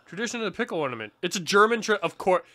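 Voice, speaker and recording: A man co-hosting a casual podcast, telling stories in an animated way and swearing freely. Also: high-pitched, racing. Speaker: podcast co-host.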